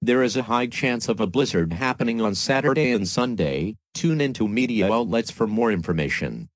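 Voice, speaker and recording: A man plays a weather service announcer reading a scripted forecast. The voice sits fairly low and is recorded dry, without room echo. The delivery is formal and matter-of-fact. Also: lively intonation, moderate pace.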